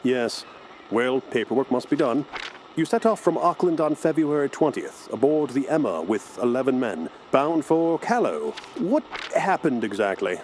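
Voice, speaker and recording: An actor playing a polite, official naval commander in a radio drama, with formal, courteous speech. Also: male voice, medium pitch, very animated, moderate pace, darkish tone, a little hiss or hum, natural voice, rough recording. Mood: courteous, businesslike.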